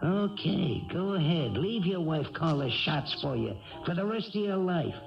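An actor playing a mocking, pushy criminal tempter in a slangy, taunting manner in a 1970s radio drama. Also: male voice, on the high side, lively intonation, measured pace, vocal fry, over music. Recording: dull vintage radio broadcast recording.

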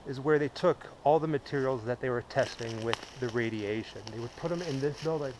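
A young man casually talking to a handheld camera while exploring woods and dark concrete ruins, swearing now and then. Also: mid-range voice, a little fast. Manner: chatty, swears when startled. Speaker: urban explorer vlogger. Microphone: handheld action camera. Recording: noisy outdoor camera audio.

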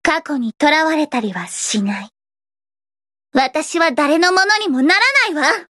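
A woman's voice: high-pitched, animated, unhurried, fairly bright tone, no echo, studio quality. A woman voicing a theatrical gothic teenage idol girl from an anime game, with grandiose, dramatic delivery and a shy, sweet side. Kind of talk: anime game character voice lines.

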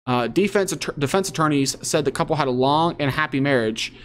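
A man's voice: fairly high pitch, a little fast, a little hiss or hum. A man hosting online commentary, reading news aloud and reacting casually.

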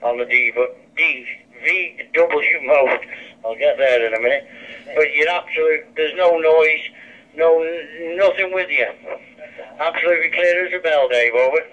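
Man on a dull, bright-edged radio link. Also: on the high side, measured pace. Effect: heard through a handheld radio. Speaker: amateur radio operator. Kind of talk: casual two-way radio chat. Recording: dull lo-fi two-way radio speaker.

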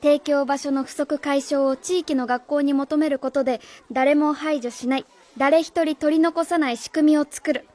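A woman's voice: high-pitched, steady tone, moderate pace, darkish tone, close to an everyday voice. A woman acting in a Japanese radio drama as a stiff, earnest young schoolteacher, proud yet vulnerable, speaking formally and politely. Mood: earnest resolve.

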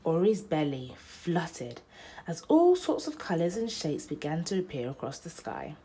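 A young woman narrating a children's bedtime picture book aloud, with deliberate, dramatic phrasing. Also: low-pitched, big pitch swings, mellow, slight background noise.

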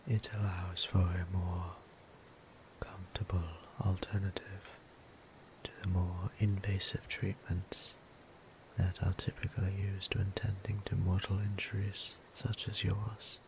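A man playing a gentle, courteous vampire priest in an ASMR roleplay, speaking softly and breathily in a formal, soothing way. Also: low-pitched, monotone, slight background noise, poor audio. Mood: gentle, tender. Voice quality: soft, breathy near-whisper. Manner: slow, deliberate, formal, soothing. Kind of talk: intimate ASMR roleplay acting.

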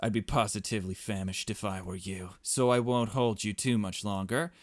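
Visual novel headmistress, male reader: formal, courteous, chirpy with a hint of threat, lowish register. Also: fairly even intonation, moderate pace, no room sound, natural voice. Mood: cheerful, welcoming. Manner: prim, formal, over-polite. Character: polite, chirpy creature headmistress with veiled menace.

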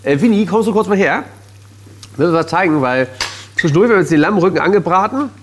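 A man's voice: high voice, expressive, brisk, a little hiss or hum. A man in his thirties hosting a cooking show in a studio kitchen, chatty and jokey, explaining each step while he cooks. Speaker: TV chef and host. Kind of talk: casual cooking show chat.

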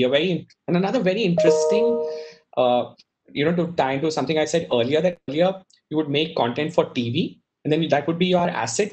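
A middle-aged man speaking as a media executive on a video-call panel, conversational with frequent "you know". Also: on the high side. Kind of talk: casual panel discussion. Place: office room with world map on wall. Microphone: video call webcam.

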